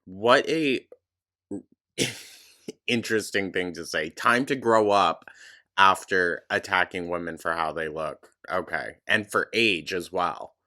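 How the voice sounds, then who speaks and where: Sarcastic man with a deep, bright voice. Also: slow. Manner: sarcastic, mocking. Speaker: commentary channel host. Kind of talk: reacting to clips, sarcastic commentary.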